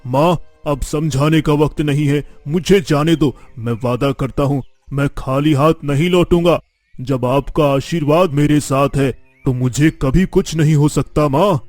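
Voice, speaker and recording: Man voicing a bold young man, slightly high-pitched. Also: measured pace, a little mellow, dry recording, soft background music, close to an everyday voice. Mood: determined, reassuring. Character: determined, boastful eldest son, fearless young village man. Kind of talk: dramatic Hindi cartoon story dialogue.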